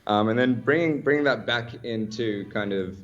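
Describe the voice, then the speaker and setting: A man's voice: medium pitch, normal speed, lo-fi sound. An Australian man in a casual radio interview as a guest, talking in an upbeat, slangy way. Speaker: guest, startup founder.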